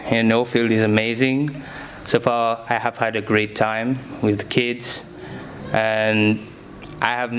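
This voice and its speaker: A man's voice: medium pitch, a bit bright, slight background noise. A young man, answering interview questions as a science teacher in simple, enthusiastic phrases.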